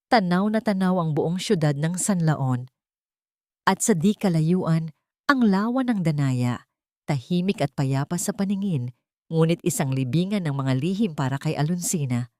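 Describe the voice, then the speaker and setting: A woman narrates scripted fiction as a storyteller. Her voice sits on the low side, and the sound is very dry with no room echo. She phrases deliberately and dramatically, pausing briefly between short phrases. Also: lively intonation, a little mellow.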